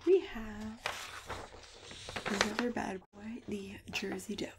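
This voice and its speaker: Female ASMR performer as a friendly matchmaker: hushed, creaky, reassuring, slipping into whispers, keyboard clicks and noticeable hiss behind. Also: medium pitch, fairly even intonation, slow pace. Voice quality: soft, breathy, often dropping to a whisper. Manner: gentle, chatty, hesitant, reassuring. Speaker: ASMR host playing a matchmaker. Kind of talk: ASMR roleplay, soft-spoken with whispering. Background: computer keyboard typing and clicks.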